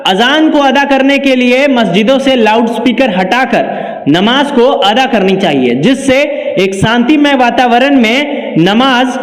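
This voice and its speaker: Guy with a really high voice reading a news explainer, lots of room echo and reverb. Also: lively intonation, unhurried. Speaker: presenter of a news explainer video. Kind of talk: scripted explanatory narration with commentary.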